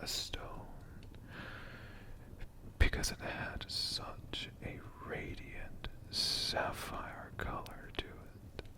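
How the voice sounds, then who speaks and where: An ASMR storyteller whispering a tale in a hushed, lingering manner, pausing and repeating words. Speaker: ASMR storyteller, channel host.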